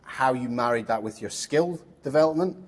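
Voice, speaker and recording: A man's voice: mid-range voice, normal speed. A man hosting a casual interview, asking long, rambling questions with many fillers. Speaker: interview host.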